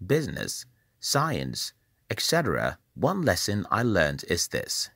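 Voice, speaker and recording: A man from Nigeria, reading a scripted motivational voice-over in a measured, emphatic, preacherly way. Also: fairly low pitch, fairly bright tone, slightly rough, no room sound, clean studio sound. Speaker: channel host.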